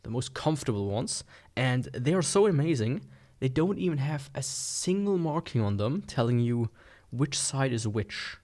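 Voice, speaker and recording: A man's voice: mid-range voice, very animated, moderate pace, hardly any pauses, darkish tone. A man with a mid-range voice talks through a product unboxing in an informal way. His delivery is sarcastic, with playful overstatement as he comments like a gadget reviewer.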